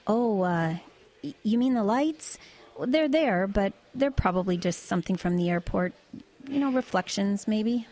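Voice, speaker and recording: A woman's voice: medium pitch, animated, a little slow, warm tone, lightly acted. A woman playing a level-headed truck driver in an eerie audio drama, talking in an everyday conversational way. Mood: casual, evasive. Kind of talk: audio drama dialogue and narration.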